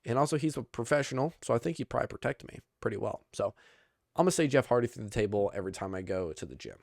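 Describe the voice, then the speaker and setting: A young man hosting a podcast, recapping wrestling in casual chat, rambling and excitable, with lots of restarts. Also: medium pitch, very fast, creaky, dry recording, clean studio sound. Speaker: podcast host.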